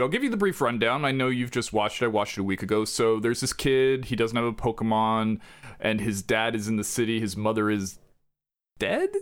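A man co-hosting a podcast, talking casually and rambling with joking asides and quick self-corrections. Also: fairly low pitch. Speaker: podcast co-host. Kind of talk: casual chat.

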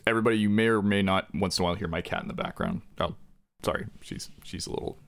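A man co-hosting a podcast, talking casually and rambling with joking asides and quick self-corrections. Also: on the low side, a bit bright, clear, resonant tone. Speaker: podcast co-host. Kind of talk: casual chat.

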